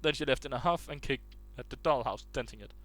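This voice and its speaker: Casual male reader of online stories, mid pitch, stumbles over words now and then. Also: quick pace, a bit bright. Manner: restarts and stumbles while reading aloud.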